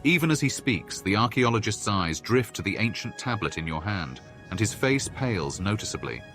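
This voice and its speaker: A man's voice: on the low side, big pitch swings, moderate pace, a bit bright, faint music behind. A man playing a solemn storyteller, reading scripted narration for a fantasy video game.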